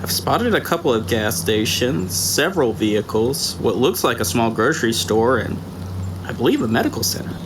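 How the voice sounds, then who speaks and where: A man voicing Jake, a calm, observant male sniper reporting over a radio in an audiobook drama. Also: mid-range voice, moderate pace, background noise, close to an everyday voice. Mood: steady.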